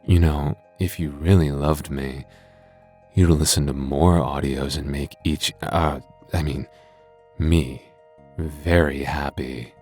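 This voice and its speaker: A man's voice: very deep voice, fairly even intonation, slow pace, a little mellow, husky, faint music behind, exaggerated voice. A man playing a coy, flirtatious masked host who addresses the listener in playful ASMR roleplay.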